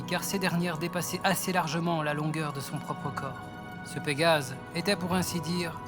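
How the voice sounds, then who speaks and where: A man narrating a scripted French fantasy audio drama as a storyteller. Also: fairly high pitch. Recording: loud music under the voice. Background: loud music.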